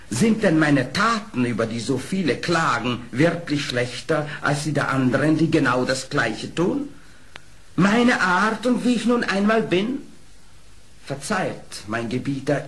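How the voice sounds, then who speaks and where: An actor playing a sly, proud, eloquent fox in a radio play, speaking in a theatrical, deliberate way. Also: male voice, mid-range voice, natural voice. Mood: proud, defiant.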